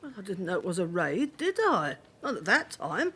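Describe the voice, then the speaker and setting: A woman's voice: on the low side, big pitch swings, moderate pace, bright, forceful tone, slightly rough, full character voice. A woman acting a flustered, indignant ordinary witness in a radio drama, rambling and defensive. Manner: rambling, defensive, matter-of-fact.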